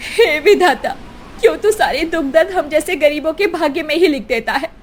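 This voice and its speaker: A woman's voice: high voice, lively intonation, normal speed, slight background noise. A woman voicing a poor, meek, suffering mother in a cartoon story, pleading and lamenting. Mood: tearful lament.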